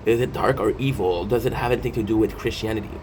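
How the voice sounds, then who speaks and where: A man's voice: on the low side, fairly even intonation, fast talker, a little mellow. A man in his thirties hosting a casual, rambling vlog, reading and commenting with jokey asides and breaking into his own sentences. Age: 30s. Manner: jokey, self-interrupting, playful asides. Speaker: video host. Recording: clear background noise.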